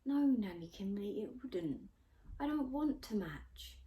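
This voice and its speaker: Woman as a sulky, mellow-voiced teenage girl. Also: mid-range voice, animated, takes it slowly. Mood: stubborn, protesting. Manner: protesting, mumbling. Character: sulky, stubborn sixteen-year-old girl, earnest.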